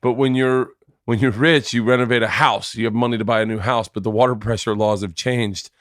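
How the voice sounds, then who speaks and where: Fast-talking joking American man. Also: mid-range voice, fairly even intonation, no echo, studio quality. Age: middle-aged. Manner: joking, rambling. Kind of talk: casual off-the-cuff banter. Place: indoor lounge studio, standing. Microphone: leaning over podcast boom microphone.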